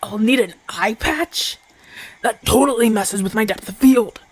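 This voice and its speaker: A man's voice: high voice, measured pace, slightly rough. A man playing a proud, impatient, flirtatious champion gladiator in pain, brusque and grumbling, in an ASMR audio roleplay. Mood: annoyed. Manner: brusque, demanding, grumbling, sighing through pain.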